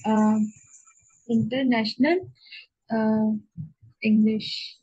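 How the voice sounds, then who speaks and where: A woman from Pakistan, a native Urdu speaker and test candidate, answering in halting beginner English full of pauses. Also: on the high side, fairly even intonation, dark tone, breathy edge, no room sound, muffled recording. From Punjab, Pakistan.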